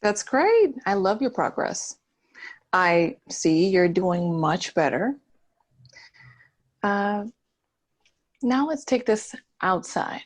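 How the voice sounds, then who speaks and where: A woman's voice: medium pitch, very animated, slow, long pauses. A woman playing a patient, encouraging trauma therapist in a screenplay table read. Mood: gently encouraging.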